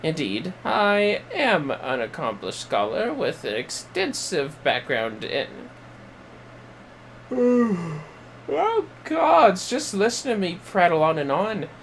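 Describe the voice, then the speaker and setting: A man's voice: sits high, wildly swooping pitch, slow, slight background noise, voice pushed up, full character voice. A man voicing a pompous, irritable scholar from a fantasy game, reading the dialogue in a formal, wordy, haughty way. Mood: proud, prattling.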